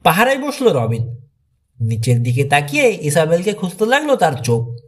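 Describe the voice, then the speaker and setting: A man's voice: a little high, wildly swooping pitch, moderate pace, slightly rough. A man reading a mystery thriller audiobook as a dramatic scripted narration.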